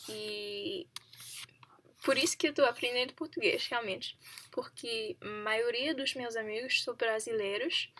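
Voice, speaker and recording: Slow, hesitant young woman practicing languages. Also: on the high side, sharp tone. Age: 20s. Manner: hesitant, halting, laughs often; self-correcting, searching for words. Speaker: language learner vlogger. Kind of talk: casual practice monologue to camera.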